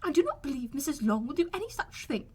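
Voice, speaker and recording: A woman voicing an excitable, fretful middle-aged mother in an audiobook, exclaiming and gushing, easily offended. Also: high voice, fast talker, voice pushed up. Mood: resentful. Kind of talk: audiobook character voice.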